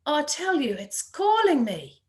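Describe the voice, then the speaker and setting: A woman voicing a dazed, excitable country boy who talks insistently in rustic dialect in a literary story. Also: sits high, a little slow. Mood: dreamy, insistent.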